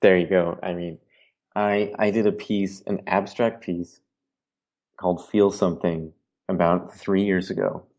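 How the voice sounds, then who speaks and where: Deep-voiced earnest man, artist guest. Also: flat delivery, measured pace, creaky, a little mellow. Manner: earnest, philosophical. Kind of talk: podcast conversation.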